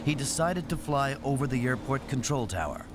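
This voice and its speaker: A man's voice: mid-range voice, animated, normal speed. A man reading scripted, dramatic documentary narration as the narrator. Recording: loud music under voice. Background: loud background music.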